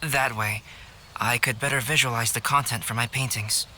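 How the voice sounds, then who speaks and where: An actor playing a calm, composed young painter in a video game, speaking in a measured, articulate way. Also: male voice, mid-range voice, a little hiss or hum. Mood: calm, explanatory. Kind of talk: English game voice acting.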